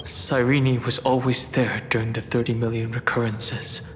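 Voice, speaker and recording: An actor playing a solemn, thoughtful observer in a fantasy video game, speaking gravely and deliberately. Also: male voice, mid-range voice, little pitch movement, fairly bright tone, a little hiss or hum, close to an everyday voice. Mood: grave, pondering. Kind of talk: dramatic video game voice acting. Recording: hall reverb, music behind.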